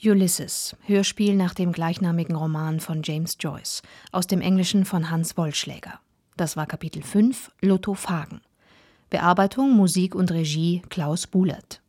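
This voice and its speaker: A woman delivers a scripted radio announcement. Her voice is on the low side as she reads out programme credits in an announcer's style. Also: steady tone, a little slow, a little mellow.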